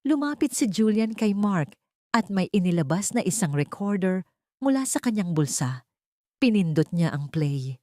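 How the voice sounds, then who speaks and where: Expressive woman narrating a dramatic story. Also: fairly low pitch, no room sound, studio quality.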